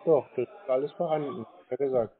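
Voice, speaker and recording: A man's voice: mid-range voice, fast, breathy edge. A man in his twenties taking a scam call, giving curt, dry, terse answers. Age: 20s. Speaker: call recipient. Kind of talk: phone call. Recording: tinny phone line.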